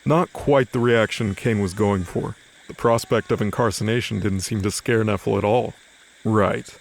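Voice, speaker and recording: Narrator for a fantasy audio drama: male, lowish pitch, scripted storytelling. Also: moderate pace, gravelly, slight background noise.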